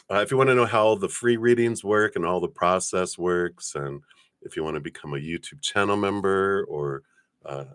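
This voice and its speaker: A man hosting a tarot livestream in friendly, rambling chat, with congested sinuses, clearing his throat often. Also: a bit bright, no room sound, studio quality. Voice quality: low voice.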